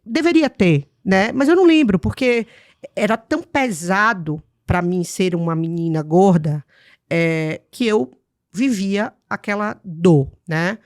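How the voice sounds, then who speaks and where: A woman in her forties from Salvador hosts a podcast interview and shares memories earnestly, checking in with her guest as she goes. Her voice sits at a medium pitch for a woman. The sound is dry and close, with no room around it. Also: measured pace, darkish tone, dry recording. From Salvador, Bahia, Brazil. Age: 40s. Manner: earnest, reflective storytelling with frequent "né?" tags. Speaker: podcast host.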